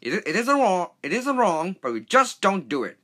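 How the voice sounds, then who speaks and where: A man's voice: sits high, very animated, normal speed, pushed and bright, voice pushed up, exaggerated voice. A man voicing a pompous, cross steam engine in a children's storybook, scolding indignantly.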